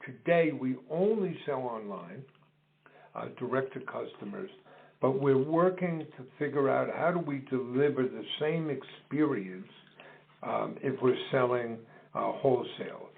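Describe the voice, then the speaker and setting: A middle-aged or older man, explaining his business in an interview in measured, deliberate phrases. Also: medium pitch, gravelly. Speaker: business co-founder being interviewed. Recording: dull phone-like call audio.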